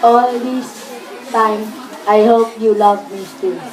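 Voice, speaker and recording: A woman's voice: fairly high pitch, steady tone, takes it slowly, a little mellow, poor audio. A female student reciting scripted narrative lines.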